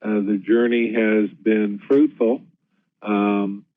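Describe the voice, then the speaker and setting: A man speaking as a chief executive at a conference, steady and measured, with many "uh" fillers. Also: lowish voice, no echo. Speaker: health management CEO. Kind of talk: conference presentation. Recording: tinny phone-line audio.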